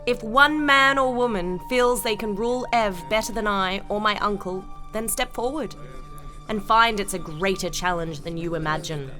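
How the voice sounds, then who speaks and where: A woman's voice: medium pitch, moderate pace, bright, forceful tone, close to an everyday voice. A woman playing a haughty, defiant princess in an audio drama, regal and declamatory. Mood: defiant, rousing. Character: haughty, defiant royal princess facing execution. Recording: music under the voice.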